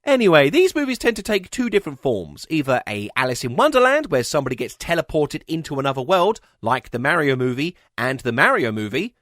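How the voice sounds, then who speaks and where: A man's voice: fairly high pitch, a bit bright, no room sound, clean studio sound. A man narrating a scripted comedic video essay as its host, with a sardonic, emphatic delivery.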